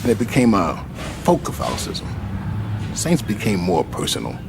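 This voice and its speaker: A man's voice: on the low side, wildly swooping pitch, moderate pace, vocal fry, noisy background, full character voice. A man acting in a radio play as an earnest local guide in Brazil, explaining things like a storyteller.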